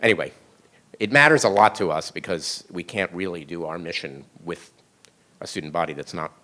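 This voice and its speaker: A man's voice: deep voice, brisk, pushed and bright. A man speaking as a faculty panelist, earnest and restarting his sentences often. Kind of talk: panel discussion answer.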